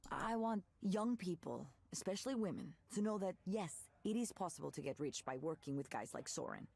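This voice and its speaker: Visual novel role: weary, candid woman, gravelly and expressive, naturalistic delivery. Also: on the low side, a little fast, no room sound, full character voice. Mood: frank, reflective. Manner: wry, frank, reflective. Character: Nora, world-weary, candid ex-tech woman turned musician.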